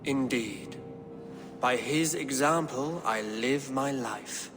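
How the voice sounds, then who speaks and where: A pious cold king from a medieval video game, real slow n formal, big hall reverb, music behind. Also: mid-range voice, a bit bright. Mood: pious, calm. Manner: measured, formal, scripture-like oratory. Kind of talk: video game dramatic acting.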